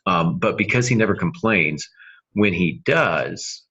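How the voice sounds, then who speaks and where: A middle-aged man co-hosting a casual podcast discussion on writing, speaking in an earnest, emphatic way with dramatic pauses. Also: low-pitched, lively intonation, sharp tone, husky, dull audio. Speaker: podcast co-host and novelist.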